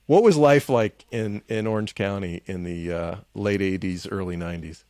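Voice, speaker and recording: A man hosting an interview, asking gentle, curious questions with frequent restarts. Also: deep voice, moderate pace, no echo, studio quality. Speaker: podcast host.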